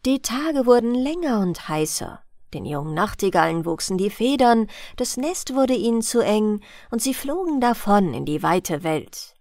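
A woman reads a bedtime fairy tale at an unhurried pace, her high voice rising and falling in a lively, expressive way. The sound is close and completely dry, like a studio mic. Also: no echo. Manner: gentle, expressive storytelling. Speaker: audiobook narrator.

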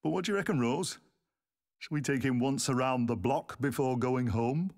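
A man voicing a brisk, cheeky, commanding time traveller in an audio drama, emphatic and teasing. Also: medium pitch, darkish tone, natural voice. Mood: upbeat, teasing.

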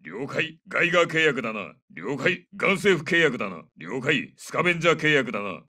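A man playing a gruff, commanding special-forces soldier in a video game, giving clipped, authoritative military callouts. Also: medium pitch, big pitch swings, a little fast, sharp tone, distant mic. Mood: businesslike, alert. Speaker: game character voice lines.

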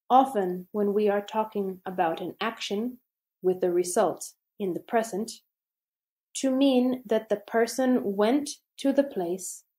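Slow, mellow woman reading a lesson. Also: medium pitch. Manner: slow, deliberate reading with pauses. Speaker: English teacher. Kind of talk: slow scripted grammar lesson.

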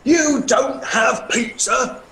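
A young man playing a gruff, bossy pirate captain in a children's puppet show, with theatrical exclamations, easily confused. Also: very high voice, slow, bright, forceful tone, exaggerated voice. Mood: indignant, menacing. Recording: roomy, noisy home recording.

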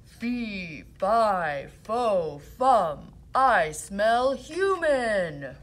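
Woman putting on a slow, booming, menacing giant. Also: sing-song. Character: huge, mean, hungry male giant. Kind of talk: children's fairy tale storytelling.

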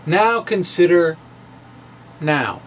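A middle-aged man presenting a science monologue as a dramatic reading with casual asides, emphatic and theatrical, with halting pauses. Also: sits high, expressive, fairly bright tone, slight background noise. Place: small indoor room with bookshelves. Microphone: handheld-style microphone on stand close in front.

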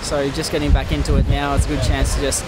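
A man's voice: medium pitch, monotone, fast. Australian teenage guy, very noisy beach. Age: teens. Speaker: competitor. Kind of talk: casual interview answers.

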